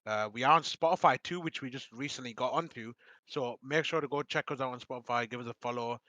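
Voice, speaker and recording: A young man rambles through a casual podcast chat, laughing often and repeating his plugs. His voice is bright and forceful, and it sounds strained as he loses it. The sound is close and dry. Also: mid-range voice, fast, no echo, lo-fi sound. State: losing his voice. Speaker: podcast host.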